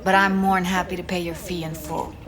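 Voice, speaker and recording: A woman's voice: fairly low pitch, level tone, brisk, crowd noise, lightly acted. An actress playing an earnest, caring young woman in a video game, talking in an informal, slangy way. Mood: hesitant, earnest. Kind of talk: video game cutscene acting. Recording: music behind the voice.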